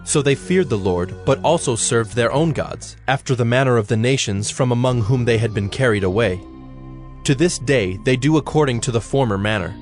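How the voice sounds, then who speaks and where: A male narrator reads scripture with solemn formality. His mid-pitched voice is measured throughout, with music playing beneath it. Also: lively intonation.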